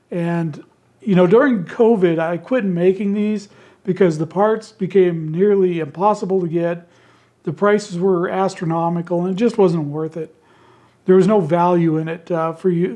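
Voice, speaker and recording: A man with a hoarse, worn-out voice, chatting casually about his handmade radio gadgets in an easygoing, rambling way from his indoor radio shack. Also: sits high, mellow. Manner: easygoing and rambling, homespun phrasing. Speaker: ham radio channel host selling handmade keypads. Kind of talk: casual product talk to camera.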